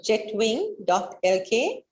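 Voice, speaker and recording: A woman's voice: fairly high pitch, very animated, takes it slowly. A woman with a Sri Lankan accent, answering as an interview guest in an earnest, encouraging, conversational way. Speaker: interview guest, business leader. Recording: echoey, roomy sound.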